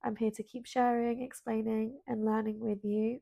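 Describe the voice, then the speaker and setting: Woman w/ spanish as first language, soft gravelly voice, flat and mellow, lots of ums, spiritual podcast host. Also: a little high, normal speed, no echo. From Colombian, born in London. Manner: soft, hesitant, many ums, earnest. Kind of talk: solo spiritual podcast monologue. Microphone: self-filmed at home.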